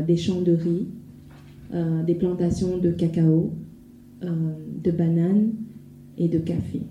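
A woman's voice: lowish voice, dark tone. A woman, giving a hesitant spontaneous testimony in public. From Ivory Coast. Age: 20s. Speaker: speaker giving personal testimony. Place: echoey room at a public event. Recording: muffled, noisy, echoey room.

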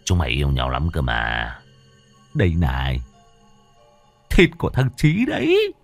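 A man voicing a sadistic husband who sneers and gloats with low menace in a horror story. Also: huge pitch swings, unhurried, soft background music, heavily acted. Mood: menacing.

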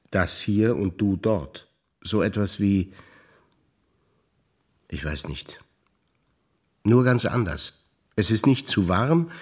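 An actor playing a reflective man recalling memories in a theatrical duologue, speaking in measured, short phrases that often trail off quieter. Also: fairly low pitch. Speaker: stage actor. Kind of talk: theatrical spoken monologue of memories.

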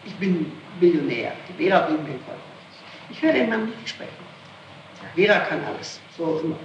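A woman's voice: low voice, sing-song, brisk, dull audio. A woman being questioned as a suspect, answering defensively and insistently and repeating herself. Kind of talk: police interrogation answers. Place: echoey room, archival interrogation tape. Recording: noisy, roomy archival 1960s tape recording.

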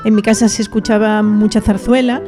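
A middle-aged woman from Spain with a Castilian Spanish accent, a guest chatting casually on the radio, reminiscing warmly and laughing easily. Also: mid-range voice, little pitch movement, fairly quick, over music. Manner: warm, reminiscing, laughs easily, some restarts.

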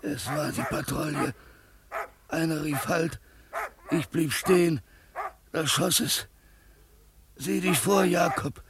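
An actor playing a gravely wounded village man in a radio drama, gasping out halting, urgent phrases. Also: fairly high pitch, very noisy. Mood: strained, urgent. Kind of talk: German radio drama acting.

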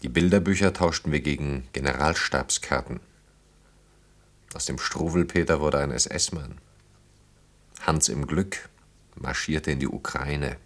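An actor in a German radio play, playing a brooding engineer who speaks reflectively in halting, short phrases. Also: male voice, extremely low pitch. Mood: melancholy, recounting. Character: brooding engineer haunted by wartime childhood and lost friends.

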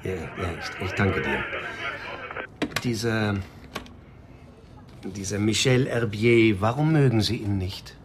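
An actor playing a calm, patient middle-aged police commissioner in a radio crime drama, with an understated, halting, matter-of-fact manner. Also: male voice, on the low side, very noisy. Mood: patient, puzzled.

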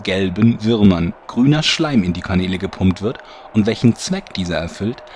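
A male announcer with a low, very animated voice. He reads in a scripted, mock-solemn style, with background noise behind him.

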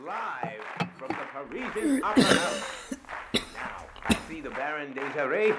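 A man playing an excitable radio reporter who gives theatrical live commentary in English from a society gala. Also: a little high, measured pace. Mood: excited suspense. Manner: theatrical, dramatic emphasis. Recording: loud crowd noise behind. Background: crowd.

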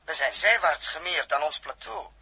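An actor playing a practical, good-natured astronaut crew leader in a 1960s radio drama, talking in a brisk, colloquial way. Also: male voice, on the high side, expressive, creaky, sharp tone, breathy edge, no echo, full character voice. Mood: practical, businesslike.

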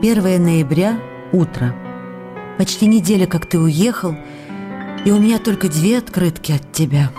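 An actress playing a lonely, adoring wife reading her letters to an absent husband, gently and emotively, in a radio drama. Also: on the low side, animated, moderate pace, lightly acted. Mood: longing, concerned. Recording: long reverb, faint music behind.

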